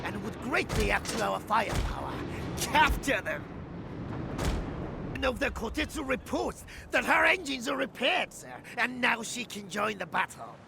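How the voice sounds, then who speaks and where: An excitable, loyal samurai-era officer in a game cutscene. The voice is very high and creaky, swinging widely as he reports to his lord with urgent, dramatic deference. Music plays behind him. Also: unhurried, noisy background, full character voice. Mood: urgent, exultant. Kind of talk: cinematic strategy game acting.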